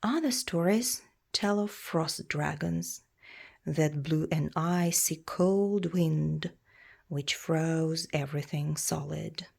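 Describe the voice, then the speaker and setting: Slow, husky woman reading a storybook. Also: low-pitched. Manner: measured, expressive storytelling for children. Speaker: children's story reader. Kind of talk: read-aloud storybook narration.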